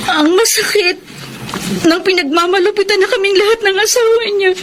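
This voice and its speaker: Very high-voiced young woman, soft, polite and hurt, played by an actress; noisy radio-drama track. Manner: soft-spoken, tearful at times. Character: young woman, honest, wounded by her past. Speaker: Chona, young daughter. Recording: radio drama with clear background noise.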